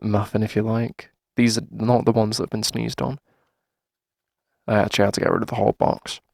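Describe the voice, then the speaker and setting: A man playing a shy, friendly, flirty coffee shop owner in a gentle ASMR roleplay, speaking hesitantly in a husky, breathy voice. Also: lowish voice, level tone, dry recording, studio quality. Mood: friendly, teasing. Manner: hesitant, gentle, halting with soft chuckles. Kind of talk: soft conversational ASMR roleplay.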